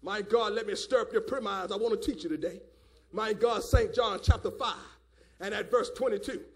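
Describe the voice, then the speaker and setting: A man a fiery call-and-response sermon, emphatic and repetitive, often rising to a shout, with a gravelly voice. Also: sits high, moderate pace. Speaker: preacher.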